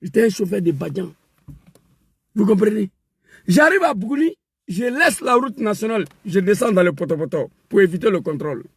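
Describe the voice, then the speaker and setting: A man delivering an impassioned political rant, emphatic and repetitive, rising to shouts. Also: sits high, lively intonation, brisk, slightly rough, no echo. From West Africa. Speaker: political commentator.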